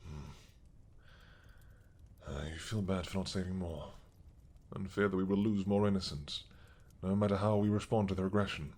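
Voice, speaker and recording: A man voicing a husky, tender old dragon king. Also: sits low, a little mellow. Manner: slow, tender, teasing. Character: old male dragon king, mad once, possessive and doting. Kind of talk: audio roleplay acting.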